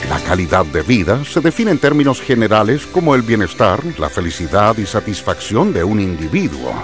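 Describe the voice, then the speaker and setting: Low-pitched, expressive male narrator. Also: normal speed. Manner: formal, didactic. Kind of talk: scripted educational narration. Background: music.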